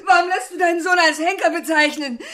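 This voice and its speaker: An actress playing a drunk, tearful, combative wealthy wife in a stage-like drama, shrill and vulgar in her indignation. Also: fast, sharp tone. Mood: drunken indignation.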